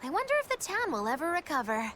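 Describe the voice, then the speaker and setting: A mischievous young witch from a video game, flippant and theatrical. Her very high, bright voice leaps around as she teases, with some noise behind it. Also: big pitch swings, quick pace, full character voice. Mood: breezy, theatrical. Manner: playful, teasing, theatrical.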